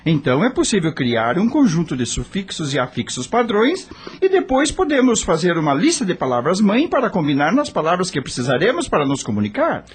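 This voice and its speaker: An actor playing an eager young male student, explaining earnestly with a husky voice in a radio drama. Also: sits high, wildly swooping pitch, brisk, heavily acted. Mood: earnest, enthusiastic.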